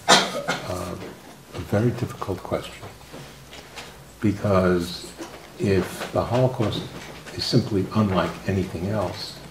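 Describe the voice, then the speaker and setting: An older man speaking softly as a guest on a discussion panel, halting with many fillers and restarts. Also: deep voice, creaky. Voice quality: soft-spoken. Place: formal room with audience. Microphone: table microphone. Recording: roomy, distant mic, faint noise.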